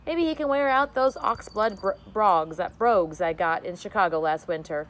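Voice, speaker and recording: A woman's voice: mid-range voice, expressive, normal speed, close to an everyday voice. Woman reading as a blunt, thrifty father. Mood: pleased, practical. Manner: brusque, dismissive.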